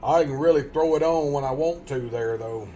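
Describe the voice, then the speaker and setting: A man's voice: a little high, normal speed, warm tone, faint music behind. A man with a Tennessee Southern accent, chatting casually and jokingly with viewers during a game live stream. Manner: joking, rambling, chuckles often.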